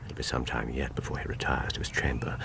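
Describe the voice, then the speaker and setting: An actor playing an incisive, theatrical Victorian detective with an English accent and precise, formal, dryly authoritative speech in a radio drama. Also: male voice, a bass voice, level tone, quick pace, gravelly, whispered. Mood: hushed, grave.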